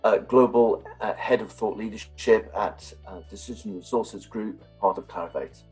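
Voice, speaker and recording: A male interviewer with a somewhat low voice, asking long, halting questions full of fillers. Faint music plays behind him. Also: a little mellow, rough recording. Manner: hesitant, heavy use of "you know" and "uh".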